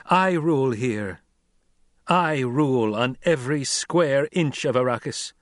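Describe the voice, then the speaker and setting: A man voicing a young male desert leader who speaks forcefully and questions his listeners rhetorically, in an audiobook. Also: mid-range voice, animated, measured pace, no echo, clean studio sound, close to an everyday voice. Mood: commanding. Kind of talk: audiobook character voice.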